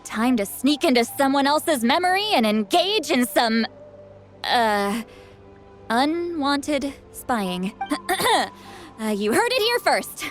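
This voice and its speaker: A proud, sharp-tongued shaman heroine from a video game. Her fairly high voice is dramatic, boastful and secretly protective. Music plays softly behind. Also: sing-song, slow, exaggerated voice. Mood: flustered. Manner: boastful yet self-doubting, dramatic.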